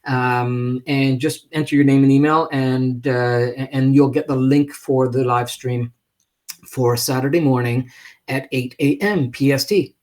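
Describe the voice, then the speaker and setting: A man hosting a live stream in casual, unscripted talk, speaking haltingly in a friendly way as he makes announcements. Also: mid-range voice, fairly even intonation, a little mellow.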